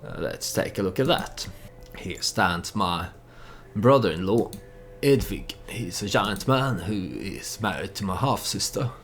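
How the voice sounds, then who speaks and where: Low-voiced man narrating his game over background noise. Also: animated, measured pace, fairly bright tone. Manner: hesitant, thinking aloud. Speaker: let's play host and storyteller. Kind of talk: casual game commentary with storytelling.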